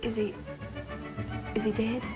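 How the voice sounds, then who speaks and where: An actress playing a quick-witted, spirited young career woman in a 1930s mystery film, brisk and pert. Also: fairly high pitch, level tone, over music, lightly acted. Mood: anxious. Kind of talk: 1930s Hollywood film acting. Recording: noisy vintage 1930s film soundtrack.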